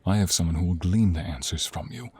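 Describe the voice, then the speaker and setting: Man acting deep-voiced regal protective king, noisy backdrop. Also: quick pace, lightly acted. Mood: commanding, angry. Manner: formal, regal, authoritative. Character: commanding, protective young king, tender to his beloved. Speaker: voice actor in audio role play. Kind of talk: dramatic audio role-play acting.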